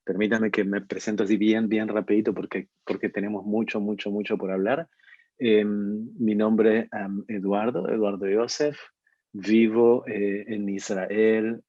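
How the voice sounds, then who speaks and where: A middle-aged Argentine man with a lowish, mellow voice gives a webinar talk, pausing often on fillers and restarting his phrases. His speech comes through a dull, lo-fi video-call line with a dry sound. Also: little pitch movement, no room sound. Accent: Argentine Spanish. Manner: hesitant, many fillers and restarts. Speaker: ministry leader giving a webinar.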